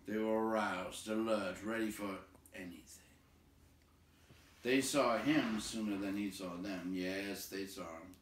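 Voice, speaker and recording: A man reading a story aloud in an expressive, deliberate, dramatic narration. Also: on the low side. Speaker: storybook reader. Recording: roomy, distant, faint background noise.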